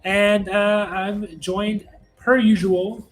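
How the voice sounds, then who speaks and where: A man's voice: high voice, flat delivery, drawn-out words, faint music behind. A man hosting a fantasy football show in a casual, conversational sports rankings style.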